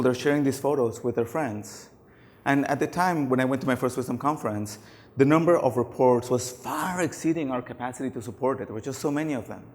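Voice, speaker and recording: A middle-aged man losing his voice, giving a casual, anecdotal conference talk on stage with many fillers. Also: mid-range voice. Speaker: conference speaker. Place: large conference hall. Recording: long hall reverb.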